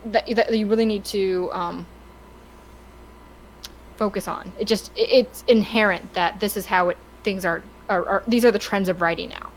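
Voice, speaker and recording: A woman's voice: mid-range voice, steady tone, moderate pace, a little hiss or hum, low-quality recording. A woman chatting casually and giving her opinions on a podcast, stammering and restarting her sentences often. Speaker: podcast co-host.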